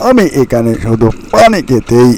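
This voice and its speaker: A man's voice: mid-range voice, big pitch swings, normal speed, a little mellow. A man voicing a greedy, sly, hungry fox who schemes aloud in a fairy-tale cartoon. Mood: feigning innocence.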